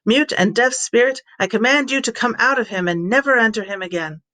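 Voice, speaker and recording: An authoritative male teacher and healer from scripture, read by a woman, in a fairly high voice. The words are delivered as firm, declarative instruction in a storybook reading. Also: brisk, pushed and bright. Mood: stern.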